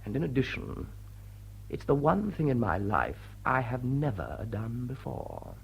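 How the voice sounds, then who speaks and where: An actor playing a dashing playboy lord in a period radio drama, florid, mock-pompous and emphatic, with a husky voice. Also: male voice, medium pitch, wildly swooping pitch, measured pace, heavily acted, low-quality recording. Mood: gallant. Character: dashing upper-class English playboy lord, gallant and theatrical.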